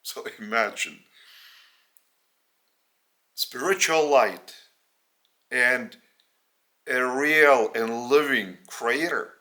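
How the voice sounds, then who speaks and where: A man with a Lithuanian accent delivers a spiritual teaching in English, his first language being Lithuanian. He speaks very slowly, breaking his sentences into short, halting phrases. His voice has a very bright, forceful edge. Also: mid-range voice. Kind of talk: spoken religious teaching in English.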